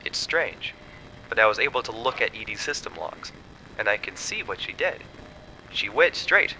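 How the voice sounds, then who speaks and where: Male actor uneasy analyst, lowish pitch, very crisp bright tone, earnest, cautious, explanatory, horror audio drama, faint background rumble. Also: very animated, normal speed, exaggerated voice, low-quality recording. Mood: uneasy, analytical. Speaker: scientist's assistant.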